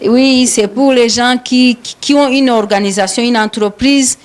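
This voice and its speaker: A Rwandan woman hosts a live radio talk show in French with a Rwandan accent. Her voice sits somewhat high, and she circles her words with frequent hesitations and repeats. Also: steady tone, normal speed.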